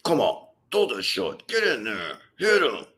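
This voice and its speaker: A man's voice: mid-range voice, wildly swooping pitch, unhurried, fairly bright tone. A man hosting a live stream who calls a boxing fight as it happens and answers chat questions in a blunt, profane, joking way. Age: adult, old enough to say he got old. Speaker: livestream host reacting to a boxing fight. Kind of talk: casual live commentary, reading chat.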